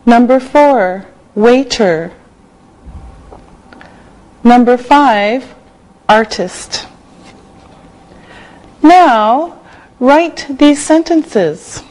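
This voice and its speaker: An older woman from Canada teaching English on a studio set with a lapel mic, dictating words slowly and clearly. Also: a little high, animated, darkish tone, poor audio. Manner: slow, clearly enunciated dictation. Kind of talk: slow scripted classroom teaching.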